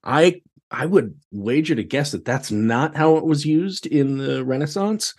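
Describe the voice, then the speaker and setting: A man's voice: a little high, unhurried, breathy edge. Exclamatory man chatting casually. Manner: animated reactions, exclamatory. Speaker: podcast guest, theatre director. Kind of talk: casual chat, anecdotes.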